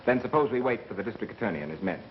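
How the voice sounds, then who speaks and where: An actor with an American accent playing a calm, methodical engineer turned sleuth in a 1930s film, measured and wry. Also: male voice, lowish voice, close to an everyday voice. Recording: muffled, hissy 1930s film soundtrack.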